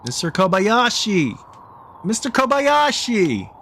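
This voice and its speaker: A man's voice: high-pitched, big pitch swings, takes it slowly, bright, forceful tone, pitched above the performer's own voice, exaggerated voice. A man voicing a panicked protagonist lost in a blizzard, calling out desperately in a visual novel read-aloud, with some noise. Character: panicked young male protagonist lost in a blizzard, half crazed.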